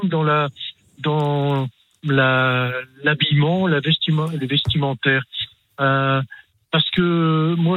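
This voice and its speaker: A man's voice: on the high side, a bit bright. An older man from France calling in to a radio talk show, speaking hesitantly with many fillers and restarts. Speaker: caller. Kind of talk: radio call-in. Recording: tinny phone line.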